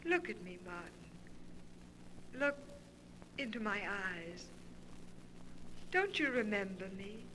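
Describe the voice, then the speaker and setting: An actress playing the seductive, commanding ghost of a dead lover with slow, deliberate melodrama in a 1940s radio horror show. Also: female voice, medium pitch, big pitch swings, pushed and bright, heavily acted. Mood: hypnotic, yearning. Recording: noisy vintage 1940s radio recording.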